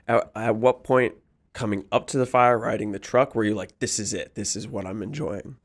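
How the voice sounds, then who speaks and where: A man with a fairly low voice hosts a podcast. He speaks casually and conversationally, phrasing things informally as he interviews. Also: fairly even intonation, moderate pace.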